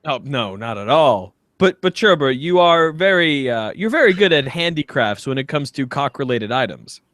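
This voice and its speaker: A man's voice: medium pitch, big pitch swings, moderate pace, a bit bright, dry recording, studio quality. A man co-hosting a podcast in casual comedic banter, speaking in a somewhat hesitant, stammering way. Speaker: podcast co-host.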